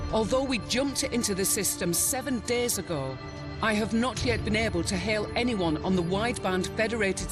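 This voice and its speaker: An actor playing an earnest, worried mercenary giving a grave, formal mission briefing in a game. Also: male voice, high-pitched, close to an everyday voice. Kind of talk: scripted briefing narration.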